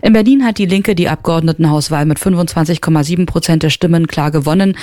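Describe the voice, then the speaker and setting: A man reading a radio news bulletin in an even, measured way. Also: fairly high pitch. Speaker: newsreader.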